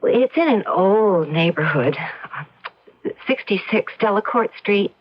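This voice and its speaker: An actress playing an eager, easily frightened young wife in an old-time radio drama, earnest and emotive. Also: female voice, medium pitch, animated, measured pace. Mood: polite. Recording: dull vintage radio drama recording.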